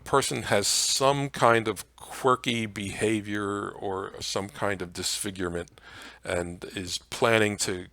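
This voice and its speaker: A man presenting an informal slide lecture in a halting, conversational way. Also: lowish voice, fairly even intonation, pushed and bright, no room sound.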